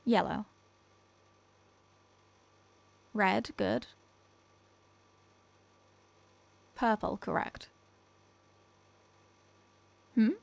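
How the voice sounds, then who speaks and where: A woman's voice: medium pitch, steady tone, slow pace, gravelly. A woman playing a condescending female alien scientist in a scripted ASMR roleplay, speaking in a clinical, formal, dismissive manner. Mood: calm, instructing. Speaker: ASMR audio roleplay performer.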